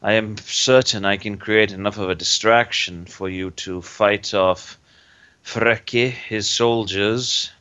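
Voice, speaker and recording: A man playing a tabletop roleplaying game, speaking in a measured, formal in-character way. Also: lowish voice, fairly even intonation, pushed and bright, clean tone. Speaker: player. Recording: dull lo-fi recording.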